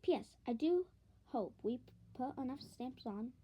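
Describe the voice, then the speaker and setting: A woman's voice: high-pitched, expressive, moderate pace, slightly rough, highs cut off. A woman voicing a polite, chatty, friendly mother from a storybook, courteous and eager, with a lilting delivery.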